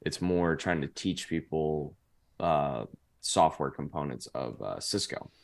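Low-voiced young man, rambling chat. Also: a little slow, vocal fry. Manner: rambling, heavy use of "like" fillers. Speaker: podcast guest. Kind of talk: casual chat.

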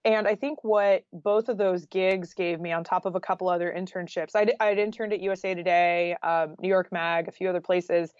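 A woman's voice: lowish voice, steady tone, moderate pace, few pauses, a little mellow, clear, resonant tone, no room sound, muffled recording. An American woman telling stories casually as an interview guest, chatty and sprinkled with "like". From Oregon, United States.

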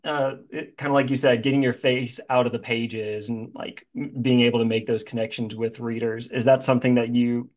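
A man's voice: mid-range voice, level tone, fairly quick, few pauses, darkish tone. A man hosting a podcast, chatting informally and rambling his way toward questions in a self-deprecating manner. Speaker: podcast host. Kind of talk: casual interview chat.

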